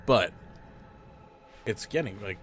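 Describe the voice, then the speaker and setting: A man hosting a casual gaming podcast, his voice hoarse and stuffy as he loses it. He rambles and mocks himself, his pitch leaping up and down, with a faint room hum behind him. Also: expressive, takes it slowly. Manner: rambling, jumpy, self-mocking. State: losing his voice, stuffy sinuses. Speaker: podcast host. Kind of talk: casual chat while gaming.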